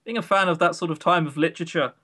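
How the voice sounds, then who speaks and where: A man's voice: a little high, fast, pushed and bright. A British man co-hosting a podcast, chatting casually in a rambling, opinionated, self-mocking way about what he has played. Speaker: podcast co-host. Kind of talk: casual chat about visual novels.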